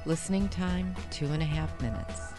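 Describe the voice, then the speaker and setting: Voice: mid-range voice, moderate pace, music in the background. A podcast host reading scripted introductions and credits in a plain announcing tone.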